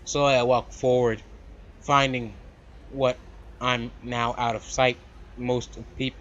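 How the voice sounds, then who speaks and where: A man hosting a let's play, reading a visual novel aloud with animated, slangy casual asides. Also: medium pitch, slow, muffled recording, slight background noise. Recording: loud background music. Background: game music.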